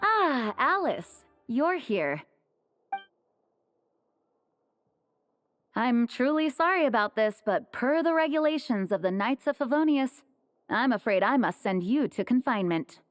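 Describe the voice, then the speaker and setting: A woman's voice: on the high side, very animated, moderate pace, soft background music. An actress playing a dutiful young female knight in a video game, polite and formal, apologetic but firm. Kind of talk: video game character acting.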